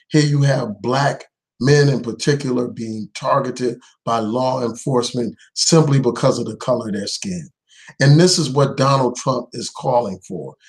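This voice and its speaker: A man hosts his own live stream with a voice worn hoarse by a cold. He talks in earnest and leans hard on his points. His pitch sits in the middle range for a man. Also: rough recording. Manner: earnest, emphatic, speaks out without fear. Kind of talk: political commentary live stream.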